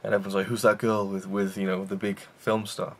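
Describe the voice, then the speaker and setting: A man's voice: on the low side, level tone, fairly quick, fairly bright tone. A man hosting a casual film review show, rambling with enthusiasm and many fillers and restarts.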